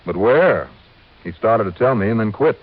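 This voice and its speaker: A man's voice: lowish voice, expressive, moderate pace. An American man playing a wry, dogged insurance investigator in a 1950s radio drama, narrating in clipped, punchy phrases. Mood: insistent. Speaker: lead actor and narrator. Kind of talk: 1950s radio detective drama acting, first-person narration. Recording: muffled vintage radio recording with music.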